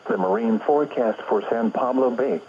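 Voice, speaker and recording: A man's voice: mid-range voice, very animated, gravelly, a little mellow. Synthetic American man on dull weather radio. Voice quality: synthetic text-to-speech voice. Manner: formal, impersonal, measured phrasing. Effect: radio broadcast. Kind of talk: scripted weather bulletin reading. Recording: dull lo-fi radio reception, noisy.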